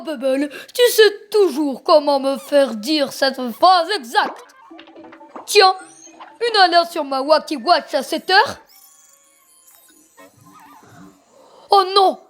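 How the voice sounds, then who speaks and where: A flustered, theatrical ringmaster from a cartoon, acted by a woman, speaks in an extremely high voice. The delivery is showy and grandiose, with faint music underneath. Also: expressive, unhurried. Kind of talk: fan dub cartoon acting.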